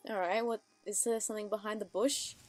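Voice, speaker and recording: Casting: woman commentator reacting off the cuff, mid-range voice, musing to herself, soft music underneath. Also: medium pitch, steady tone, fairly quick. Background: faint music from the video.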